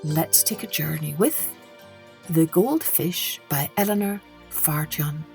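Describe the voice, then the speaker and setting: A woman's voice: low-pitched, takes it slowly, gravelly, a little mellow. Woman reading a children's story over music.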